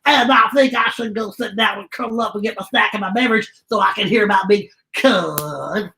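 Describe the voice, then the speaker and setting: Talking book puppet, acted by a woman, childlike, excitable, eager, bright tone, kids' show. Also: medium pitch, moderate pace, gravelly, a little hiss or hum. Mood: cheerful. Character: eager, hungry talking storybook puppet friend.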